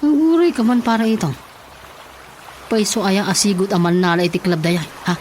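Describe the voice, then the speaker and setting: An actor playing a jeering, excitable drinking buddy who repeats himself and exclaims in an Ilocano radio comedy. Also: male voice, sits high, moderate pace, a little hiss or hum, lightly acted. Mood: teasing. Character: teasing male drinking buddy, cackling and excitable.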